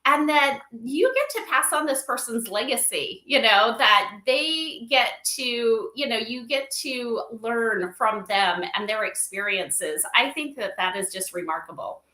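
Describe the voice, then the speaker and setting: In a roomy, echoey livestream recording, a woman guest explains things in a bright, fairly high voice. She is informal and conversational, often pausing to seek agreement from her listener. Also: lively intonation. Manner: informal, filler-heavy, seeks agreement with "right?" and "you know". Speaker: interview guest, company advisor.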